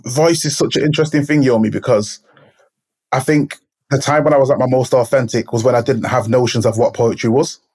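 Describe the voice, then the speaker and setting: A man's voice: mid-range voice, steady tone, a little fast, darkish tone, breathy edge. A man, talking reflectively and searching thoughtfully for his words. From Manchester, England. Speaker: poet, guest. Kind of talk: reflective conversation.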